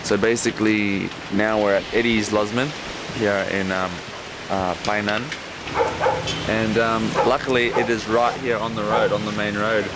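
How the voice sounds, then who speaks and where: Man, lowish voice, casual roadside travel update, loose and unscripted, noisy outdoors. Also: measured pace. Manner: relaxed, many ums, thinks aloud. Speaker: travelling cyclist and surfer, co-host. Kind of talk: casual travel vlog to camera. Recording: loud outdoor ambience behind.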